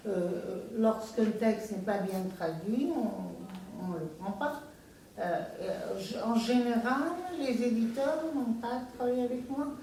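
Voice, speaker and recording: A woman's voice: fairly high pitch, takes it slowly, mellow. A woman speaking spontaneously as a panelist in a round-table discussion. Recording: echoey room, distant mic, faint noise.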